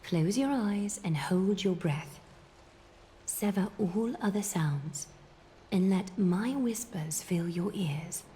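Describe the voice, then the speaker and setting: A woman playing a serene, solemn priestess in a video game, speaking slowly and formally with a breathy, sometimes whispering voice. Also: medium pitch, lively intonation, mellow, a little hiss or hum. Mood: hushed, hypnotic. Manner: slow, deliberate, formal and commanding. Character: serene, solemn priestess guiding a sacred water ritual. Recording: background music under game dialogue.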